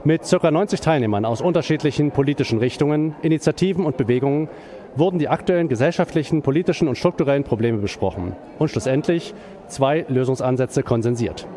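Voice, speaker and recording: A man's voice: medium pitch, fast, dark tone. A man reporting from an event, reading a formal news narration and interviewing attendees.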